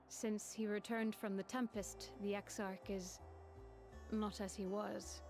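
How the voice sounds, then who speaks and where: An actress playing a composed, formal woman in a game cutscene, measured in speech and quietly caring. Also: medium pitch, vocal fry. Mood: wistful. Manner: measured, formal, archaic wording. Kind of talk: dramatic video game cutscene acting. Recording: music behind the voice.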